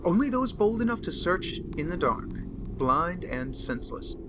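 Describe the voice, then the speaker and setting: A man voicing a pompous, unhinged occult diarist who scorns humanity, in theatrical, deliberate horror narration that lingers on words. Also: sits high, big pitch swings, noisy background. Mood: fervent, menacing. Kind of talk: dramatic horror story narration.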